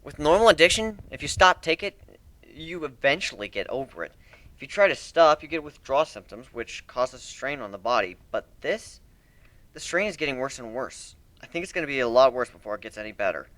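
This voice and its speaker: Man playing a bright-voiced brooding griffin captain. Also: mid-range voice, sing-song, a little fast, dry recording. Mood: grave. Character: male griffin captain, addicted, brooding, stubborn.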